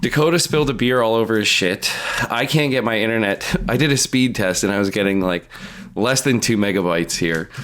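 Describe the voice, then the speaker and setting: A man's voice: on the low side, a little fast, hardly any pauses, fairly bright tone. A young man co-hosting a podcast in casual chat, swearing freely and venting in a blunt, exasperated way. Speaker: podcast co-host.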